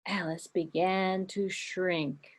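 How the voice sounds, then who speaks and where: A woman, a children's librarian, telling a story with puppets for kids. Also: lowish voice. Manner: animated, slow and expressive storytelling.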